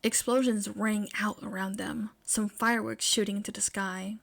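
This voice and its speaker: A woman's voice: medium pitch, fairly even intonation, moderate pace, vocal fry. A woman reading scripted fiction aloud as the narrator of an amateur audio story. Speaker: podfic narrator.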